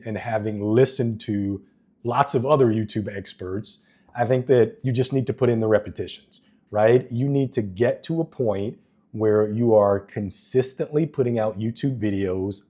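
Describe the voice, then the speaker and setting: A man with a deep voice talking earnestly to camera in a casual vlog, with plenty of ums and restarts, recorded indoors on a wireless microphone. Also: warm tone.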